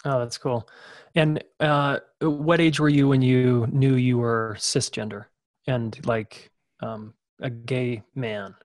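A man's voice: mid-range voice, steady tone, slow, dry recording, clean studio sound. A man hosting a podcast interview in a casual, informal way, with short acknowledgements and questions.

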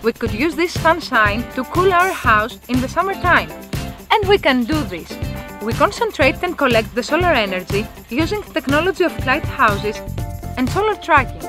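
A young woman delivers a carefully read, earnest sales pitch in a high, light voice. Faint music plays softly beneath her. She sounds upbeat throughout. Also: sing-song, normal speed. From Greece. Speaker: company presenter. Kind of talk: scripted promotional pitch. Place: indoor office with bookshelves.

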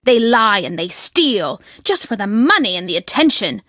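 A woman playing a secretive, fervent guardian of her family's destiny in a video game, speaking solemnly and deliberately. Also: medium pitch, big pitch swings, fairly bright tone, no room sound, studio quality. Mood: impassioned, defiant.

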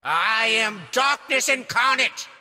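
A man voicing a grandiose, theatrical demon lord in a game, with a gravelly, husky, booming delivery. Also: expressive, slow, pushed and bright, in a large hall, soft background music, exaggerated voice. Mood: menacing. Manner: booming, melodramatic. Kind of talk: game voice line.

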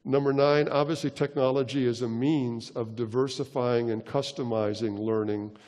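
An older man speaks on a large conference stage through a headset mic, lecturing at a measured pace and filling pauses with ums and uhs. His voice is mellow and of middling pitch. A long reverb from the hall trails his words. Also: fairly even intonation. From United States. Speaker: keynote speaker. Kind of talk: conference keynote talk.

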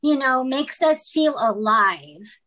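Slow-spoken bright woman guest. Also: high-pitched, animated. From Philippines. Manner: hesitant, many fillers and restarts. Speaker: guest, writer and actress. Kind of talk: casual interview conversation.

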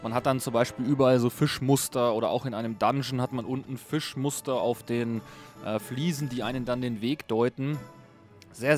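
A man hosting a casual, unscripted video game review in a chatty, informal way. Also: medium pitch. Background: music under a voice-over.

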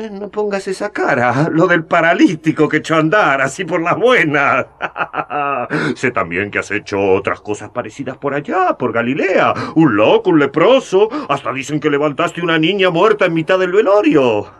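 An actor playing a scheming older magistrate with a gray beard, who talks smoothly and chuckles often in a radio drama. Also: male voice, on the high side, big pitch swings, moderate pace, a bit bright, exaggerated voice. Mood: sly.

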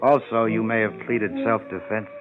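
An actor playing a coolly menacing crime boss with formal, condescending politeness in a 1950s radio drama. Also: male voice, fairly low pitch, steady tone, moderate pace, narrow, tinny audio, over music, natural voice. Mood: suavely probing. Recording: thin, band-limited 1950s radio recording.

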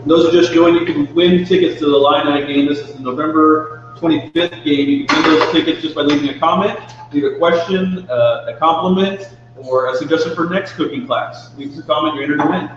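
A man's voice: a little high, fairly even intonation, a little fast. A man acting as co-host on a live show, casually reading out viewer comments and announcements. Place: indoor demonstration kitchen. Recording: very echoey room, muffled, faint hum.

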